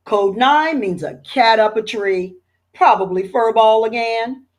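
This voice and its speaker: A woman's voice: fairly high pitch, a little slow, lightly acted. A woman reading aloud voices a friendly, hearty male fire chief in a storybook.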